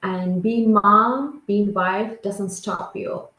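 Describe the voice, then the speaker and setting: Slow-speaking woman from Sri Lanka, echoey call. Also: medium pitch, a bit bright. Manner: slow, searching, with non-native grammar. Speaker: guest. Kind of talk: casual interview answers.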